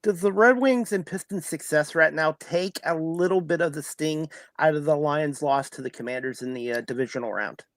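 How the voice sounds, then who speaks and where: A man in his fifties with a slightly high voice hosts a sports talk show. He delivers in a formal, announcer-like way, as if working from a script. The sound is dry and close, with little room on it. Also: normal speed, hardly any pauses, no room sound. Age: 50s. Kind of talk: show introduction, sponsor reads and interview questions.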